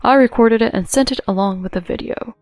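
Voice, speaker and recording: A woman's voice: mid-range voice, lively intonation, a little fast, darkish tone, no room sound, faint music behind, close to an everyday voice. Woman playing stern, commanding older woman. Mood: firm. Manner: firm, authoritative.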